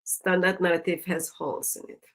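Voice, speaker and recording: A woman with a Turkish accent, a native Turkish speaker, hosting a livestream discussion and talking haltingly with many ums. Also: lowish voice, level tone. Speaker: stream host.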